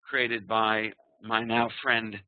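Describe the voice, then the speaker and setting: Middle-aged New York man, trading coach; chatty, halting delivery over thin, dry webinar audio. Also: fairly low pitch, level tone, no echo. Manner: conversational, frequent fillers and restarts. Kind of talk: webinar presentation. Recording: tinny phone-like online audio.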